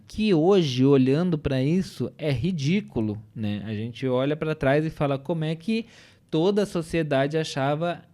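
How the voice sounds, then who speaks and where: Emphatic Brazilian man, fairly high voice. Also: mellow. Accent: Brazilian Portuguese. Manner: opinionated, emphatic, many "né?" and "entendeu?" tags. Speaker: podcast panelist. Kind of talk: casual chat.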